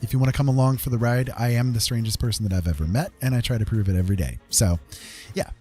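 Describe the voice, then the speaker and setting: A man talking casually and candidly as a livestream host, confiding in listeners in a halting, conversational way. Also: mid-range voice, warm tone, soft background music.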